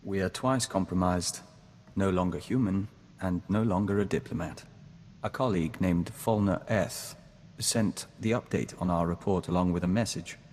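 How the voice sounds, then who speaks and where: Game companion: composed hive-joined diplomat man, low register, formal and earnest, heavy reverberation. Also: normal speed, a little mellow. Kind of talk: scripted video game companion dialogue. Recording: long reverb, audible background noise.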